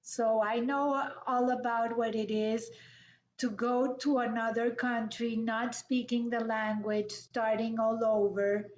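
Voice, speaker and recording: A middle-aged woman from Colombia, hosting an interview over a video call in a measured, welcoming way. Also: on the high side, flat delivery, darkish tone. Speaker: host. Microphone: video call webcam. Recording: echoey, dull webcam audio.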